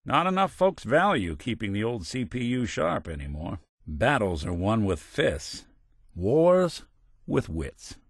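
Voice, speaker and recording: World-weary old robot private eye for a game, american, low wisecracking drawl, swings around a lot, dry close sound. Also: male voice, very animated, unhurried, breathy edge, dry recording, heavily acted. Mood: musing, wry. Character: wry, world-weary old synthetic male detective. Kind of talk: video game character acting, noir detective.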